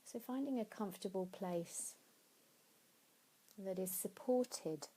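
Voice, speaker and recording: A woman leading a guided sleep meditation in a soothing, unhurried way, pausing between phrases. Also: mid-range voice, warm tone, slightly rough, rough recording. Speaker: yoga nidra meditation teacher.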